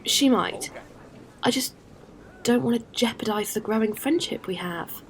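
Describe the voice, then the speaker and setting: A woman playing a caring, earnest space traveler in an audio drama, speaking with gentle hesitancy. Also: on the high side, unhurried, a little hiss or hum, poor audio. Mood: worried.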